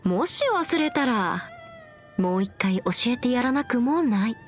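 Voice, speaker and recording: Young female legal expert, video game character, higher pitch, self-assured, formal lecturing delivery, playful pride, background music. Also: lively intonation, a little slow. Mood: confident. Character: confident, bookish young woman legal expert, proud and playful. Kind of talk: scripted anime-style game character monologue.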